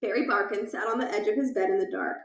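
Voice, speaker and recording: A woman reading a children's book aloud in an animated storytelling style. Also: mid-range voice, fast talker, roomy sound, far from the mic.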